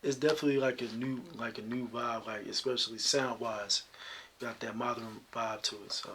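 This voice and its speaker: A man with a deep talking voice, answering interview questions in a relaxed, soft-spoken way with many fillers. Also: moderate pace, a little hiss or hum. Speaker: local singer guest.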